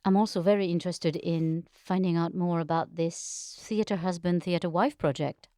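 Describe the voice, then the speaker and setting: A woman's voice: fairly low pitch, fairly even intonation, normal speed, dark tone, dry recording, studio quality. A woman conducting an interview, speaking in a conversational style as the interviewer. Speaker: interviewer, theatre artistic director. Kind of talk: interview questioning.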